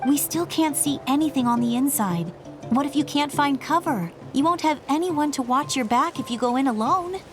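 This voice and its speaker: A woman's voice: high-pitched, expressive, normal speed. A woman voicing a stubborn, spirited younger sister from a tribe in a video game, with an insistent, plucky manner. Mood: stubborn, worried. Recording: game music behind the voice.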